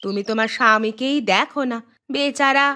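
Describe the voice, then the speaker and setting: Woman voicing a sharp, nosy village neighbour. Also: fairly high pitch, moderate pace, slight background noise. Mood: gossipy. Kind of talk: animated moral story dialogue.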